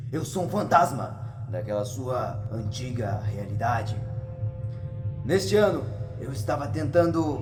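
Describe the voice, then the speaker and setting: A man playing the pompous, theatrical ghost of a scientist, telling his story formally in an audio drama. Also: mid-range voice. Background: music.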